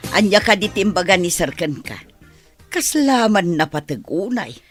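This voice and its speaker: A scornful, snooty household elder acted by a woman. Her radio-drama delivery swings widely in pitch and bristles with offence, over a faint music bed. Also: expressive, moderate pace, natural voice. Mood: scornful, indignant. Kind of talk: Ilocano radio drama acting.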